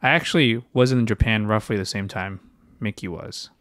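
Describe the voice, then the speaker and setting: A man's voice: lowish voice, normal speed, a bit bright, no room sound, clean studio sound. A young man in his twenties, born in China, co-hosting a podcast and telling his life story in a hesitant way, with lots of fillers and restarted sentences. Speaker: podcast co-host, physician. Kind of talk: casual podcast chat.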